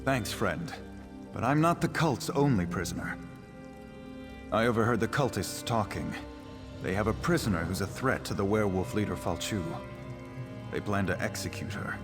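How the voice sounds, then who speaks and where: An actor playing a courteous, resolute noble duke who dabbles in magic, speaking with composure in a fantasy video game. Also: male voice, on the low side, lightly acted. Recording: long reverberation, music behind.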